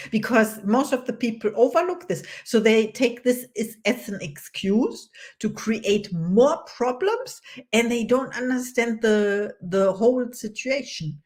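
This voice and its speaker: A woman's voice: a little high, darkish tone. A woman who speaks English as a second language, hosting an interview in a thoughtful, word-searching way. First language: not English. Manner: thoughtful, searching for words, light laughs. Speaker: interview host. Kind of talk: conversational interview.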